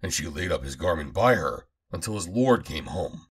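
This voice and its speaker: Deep, husky man reading scripture. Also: lively intonation, normal speed. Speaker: Bible reader. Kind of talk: scripted scripture reading, solemn archaic narration.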